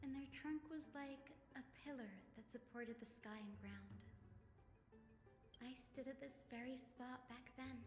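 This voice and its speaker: An actress playing a sweet, earnest young female physician in a video game, with a lightly playful manner. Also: sits high. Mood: wistful. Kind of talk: scripted video game dialogue. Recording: roomy hall reverb. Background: music.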